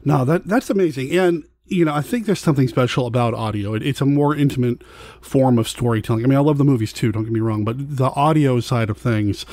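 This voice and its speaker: A man's voice: medium pitch, quick pace, a little mellow, no room sound. A middle-aged man chatting casually as a podcast host, enthusiastic and encouraging toward his guest. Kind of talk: casual interview chat. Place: close, dry podcast recording.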